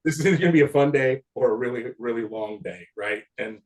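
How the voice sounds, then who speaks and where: A man's voice: medium pitch, brisk. A man in his fifties, a former football player, casually reminiscing with old teammates in a rambling way, often laughing. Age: 50s.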